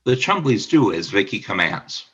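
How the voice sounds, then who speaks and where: A man narrating a scripted radio play as storyteller, with a husky voice. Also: fairly low pitch, sing-song, normal speed, fairly bright tone, muffled recording.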